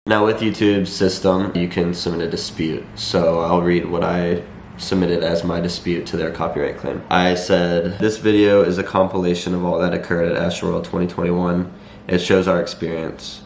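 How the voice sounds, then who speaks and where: A young man with a slightly raspy voice and a cold, explaining things to viewers in a measured, matter-of-fact vlog style. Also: sits low, fairly even intonation, a little mellow. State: has a cold. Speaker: vlogger. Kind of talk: talking to camera, explanatory vlog. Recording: echoey room, faint background noise.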